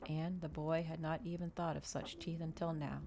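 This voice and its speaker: A woman doing a soft, unhurried ASMR reading of a novel, with small stumbles and restarts. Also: sits low, flat delivery, creaky. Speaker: streamer reading a novel aloud. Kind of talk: ASMR audiobook-style reading.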